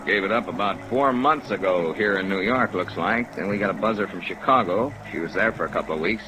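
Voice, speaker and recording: A man acting a matter-of-fact American police sergeant in an old radio serial. His low voice is terse and offhand, with clear noise on the vintage recording. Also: brisk, lightly acted. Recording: noisy old radio recording with background rumble.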